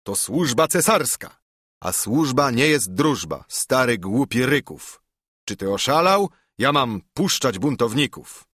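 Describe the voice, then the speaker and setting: A man's voice: mid-range voice, big pitch swings, unhurried, a bit bright, dry recording, clean studio sound, pitched above the performer's own voice. A man voicing a haughty, greedy army major in a story, blustering with angry, sneering menace. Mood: furious.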